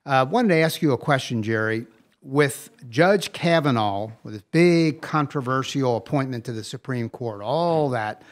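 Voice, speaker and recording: Older American man co-hosting casually. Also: medium pitch. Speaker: podcast co-host.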